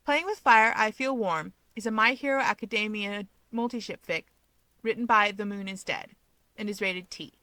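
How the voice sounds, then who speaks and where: A woman's voice: mid-range voice, normal speed, bright, forceful tone, no room sound, rough recording. Woman reading stories aloud, close dry sound. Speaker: channel host and fan fiction reader. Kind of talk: scripted story narration.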